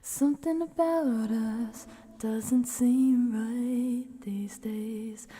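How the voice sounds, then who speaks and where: A woman singing softly as a streamer on an ASMR stream of song requests. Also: extremely high pitch, long reverb tail. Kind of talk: soft ASMR singing stream with casual chat.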